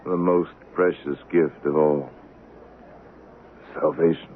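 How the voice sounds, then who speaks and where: An American actor playing an embittered, dying man of the Old West in a radio drama, grave, deliberate and formal. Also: very deep voice, steady tone. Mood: bitter regret. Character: embittered, dying old western man, hard-hearted avenger. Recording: tinny vintage radio recording, faint hiss.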